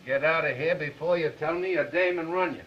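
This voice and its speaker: An actor playing a gruff, skeptical newspaper editor in a 1930s film, curt and given to barking orders. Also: male voice, a little high, a little fast, a little hiss or hum, lightly acted. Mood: curt, dismissive. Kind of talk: 1930s Hollywood film acting. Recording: muffled 1930s film soundtrack.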